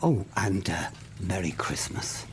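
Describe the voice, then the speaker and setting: A man's voice: low-pitched, very animated, slow, a little hiss or hum, full character voice. An actor with an Irish accent playing a courteous male charity collector in a radio play, earnest and polite. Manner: courteous and earnest, softening at phrase ends.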